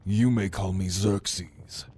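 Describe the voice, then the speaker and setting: A deep-voiced, prideful demon played by a man speaks slowly and deliberately, with mocking menace. His murmurs slip toward whispering in an intimate ASMR roleplay, over noticeable background noise. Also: fairly even intonation, a little mellow. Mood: commanding, proud. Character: sinister, prideful male demon, a prince's cunning right hand. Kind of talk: ASMR roleplay monologue to the listener.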